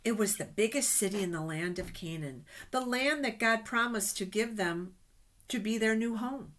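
A middle-aged woman reading Bible stories aloud to children in a deliberate, expressive way. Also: medium pitch. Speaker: children's storyteller at a church. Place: small office indoors. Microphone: webcam at desk distance.

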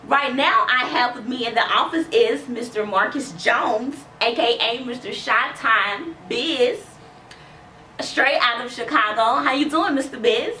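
A woman in her thirties hosting an interview show with brisk, cheerful presenter patter. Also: on the high side, pushed and bright. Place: small studio office. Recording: echoey room, mic far off, faint noise.

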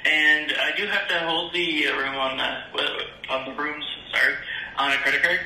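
A man's voice: a little high, fairly even intonation, normal speed, pushed and bright, echoey room, slight background noise, rough recording. A man taking a phone booking as a reservations agent, polite, apologetic and hesitant. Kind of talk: customer service phone call.

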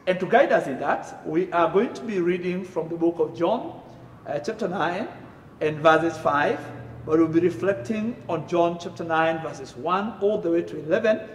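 A middle-aged man with a Kenyan accent preaches in a church sanctuary, pushing up loud on the key phrases. His voice sits high for a man and is lively. A long, roomy reverb hangs behind every word. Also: normal speed, slight background noise. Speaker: pastor. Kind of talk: sermon. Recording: echoey room reverb.

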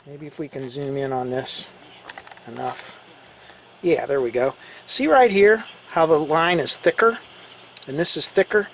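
A man's voice: fairly high pitch, a little hiss or hum. A man giving a casual, conversational hands-on explanation, taking it step by step as a hobbyist presenter. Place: indoors beside radio equipment.

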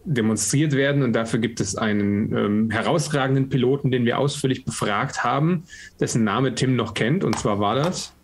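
A man with a slightly husky voice, casually recapping a plan as a player in a tabletop game over a video call. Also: medium pitch, moderate pace.

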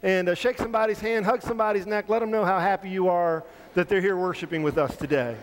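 A man's voice: sits high, fast talker, a little hiss or hum. A man leading a church service, welcoming newcomers in an easy, informal way with a few fillers. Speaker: pastor. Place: large church sanctuary. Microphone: handheld mic. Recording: long reverb of a church hall.

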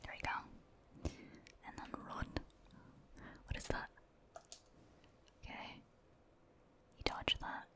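A woman whispering soft, unvoiced ASMR commentary as she plays a video game, casually and hesitantly thinking aloud. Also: takes it slowly, long pauses. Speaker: ASMR gamer, video host.

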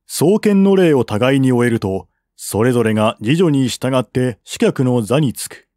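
A man reading a historical novel aloud as scripted narration, in a formal, measured literary manner. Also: mid-range voice, expressive, warm tone, no room sound, clean studio sound. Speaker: audiobook reader.

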